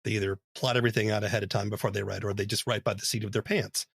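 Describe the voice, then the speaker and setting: A man's voice: fairly low pitch, little pitch movement, rapid-fire, gravelly, husky, dry recording, clean studio sound. A man hosting an interview, talking casually about writing with frequent fillers. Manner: casual, uses fillers like you know. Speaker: podcast interviewer. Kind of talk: interview conversation.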